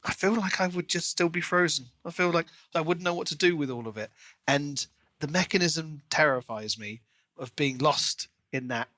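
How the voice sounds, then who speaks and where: A man's voice: fairly high pitch, normal speed, sharp tone, dry recording. A man co-hosting a podcast, talking casually and analytically through his thoughts on a book.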